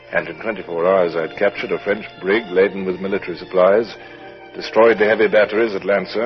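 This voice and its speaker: An actor playing a resolute British naval captain in a 1950s radio drama, crisp and authoritative as he narrates and gives orders. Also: deep voice, natural voice. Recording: noisy muffled old radio recording.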